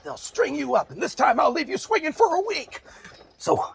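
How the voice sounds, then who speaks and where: An actor playing a gruff, whiskey-loving escort of souls in an audio drama, sarcastic and put-upon, with a husky, gravelly voice. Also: male voice, very high-pitched, sing-song, moderate pace, slight background noise, full character voice. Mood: urgent, threatening. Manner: sardonic, exasperated, threatening. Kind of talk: dramatic audio fiction acting.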